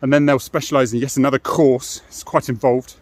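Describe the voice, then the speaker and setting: A man's voice: mid-range voice, little pitch movement, brisk. A middle-aged British man giving an informal, explanatory monologue as a former police officer, frank and matter-of-fact, often adding tag questions.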